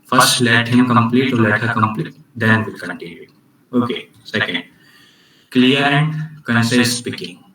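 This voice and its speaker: A man presenting an online club orientation on communication skills, speaking haltingly with many restarts and fillers. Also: mid-range voice, sharp tone, roomy sound, distant mic, slight background noise, rough recording. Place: video call.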